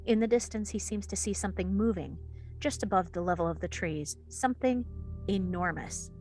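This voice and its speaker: A woman's voice: medium pitch, normal speed, a little mellow, soft background music. A woman reading stage directions as the narrator of a screenplay table read.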